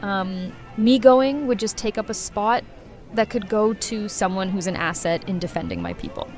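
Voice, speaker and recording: A woman's voice: medium pitch, big pitch swings, moderate pace, darkish tone, natural voice. A woman acting a brash young best friend with a mid-range voice. She is wisecracking yet affectionate, in a casual audio drama style. Faint music plays behind her. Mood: casual banter.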